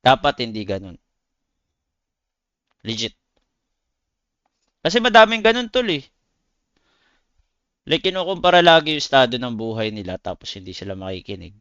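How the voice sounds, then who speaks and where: A man's voice: mid-range voice, sing-song, moderate pace, pauses often, fairly bright tone, smooth, no room sound, dull audio. A man with a Filipino accent chatting casually to viewers on a livestream, talking in blunt, slangy, swearing style. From Philippines. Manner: slangy, blunt, swearing, addresses listeners as buddies. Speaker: game streamer; competitive esports player.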